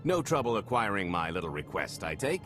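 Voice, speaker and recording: An actor playing a cocky, smooth-talking smuggler in a video game cutscene, full of sardonic wisecracks. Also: male voice, on the low side, big pitch swings, a little fast, over music. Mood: smug, teasing.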